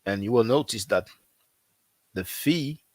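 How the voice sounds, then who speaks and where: A man who is not a native English speaker, talking casually as a language teacher, repeating himself often and filling pauses with "you know.". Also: medium pitch, expressive, no room sound. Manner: repetitive, conversational, frequent fillers. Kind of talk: casual promotional talk.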